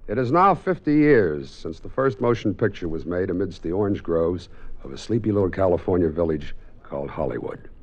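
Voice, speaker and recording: Old radio host guy, lowish dramatic intro voice, kinda muffled recording. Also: expressive, brisk. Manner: dramatic, portentous. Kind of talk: scripted 1950s radio introduction.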